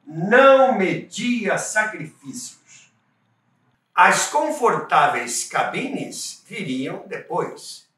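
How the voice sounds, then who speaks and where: A man's voice: a little high, pushed and bright. A man with a Brazilian Portuguese accent narrates a scripted documentary as host, in an emphatic storytelling manner that addresses the listeners. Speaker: podcast host and narrator of radio history. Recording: roomy echo, distant from microphone.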